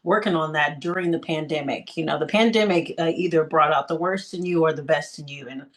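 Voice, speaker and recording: A woman's voice: low voice, brisk. An American woman hosting a casual interview, speaking in an informal, encouraging way. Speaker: interview host. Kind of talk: casual podcast chat.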